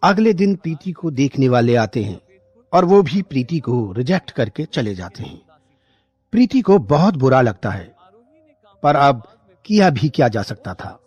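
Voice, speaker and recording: A man's voice: medium pitch, sing-song, a little slow, a little mellow, husky, no echo, lo-fi sound. A man narrating a scripted moral story as a storyteller.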